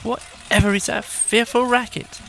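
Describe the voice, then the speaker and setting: A man's voice: very high voice, flat delivery, measured pace, bright, forceful tone, slight background noise, voice pushed up, full character voice. A man voicing a refined, huffy town mouse who talks in a formal, haughty, fussy way for a storybook. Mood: startled. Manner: haughty, formal, easily put out.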